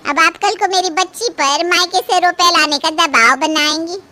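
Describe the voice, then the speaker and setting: Woman as a shrill, meddling older mother. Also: normal speed, pushed and bright. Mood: accusing. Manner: sarcastic, reproachful. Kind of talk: Hindi moral-story drama.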